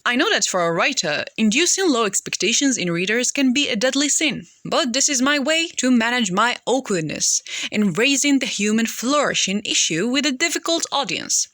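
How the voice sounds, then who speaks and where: High-pitched, lively woman narrating an audiobook. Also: normal speed, few pauses, dry recording, studio quality.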